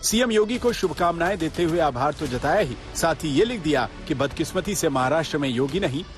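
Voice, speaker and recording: Male reporter reading a news script, voice a bit high, some music in the back. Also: animated, fairly quick. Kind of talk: scripted news voice-over narration. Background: light background music.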